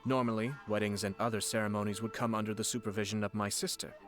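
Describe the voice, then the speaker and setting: An actor playing a courtly, shrewd young clan lord in a video game, speaking in a formal, measured and polished way. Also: male voice, fairly low pitch, flat delivery, a bit bright, faint music behind, natural voice. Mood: composed, dignified.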